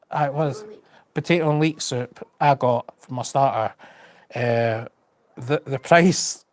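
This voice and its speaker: A man's voice: a little high, no room sound. A man with a Scottish accent chatting casually to his live stream, rambling and frank, with a slight stutter and repeated words. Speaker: travel vlogger on a live stream. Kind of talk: casual chat.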